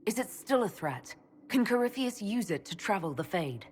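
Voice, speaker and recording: A woman's voice: mid-range voice, big pitch swings, normal speed, slight background noise, lightly acted. An actress playing a cautious, earnest elven woman who leads a band in a fantasy game, direct and questioning. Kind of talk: dramatic fantasy game acting.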